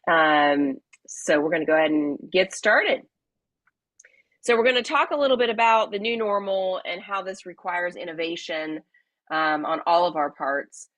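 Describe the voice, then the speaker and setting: A woman hosting a live webinar, talking in a friendly, chatty way with many fillers, slightly raspy from a cold. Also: on the low side, moderate pace, a bit bright, no room sound. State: hoarse from a cold. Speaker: webinar host.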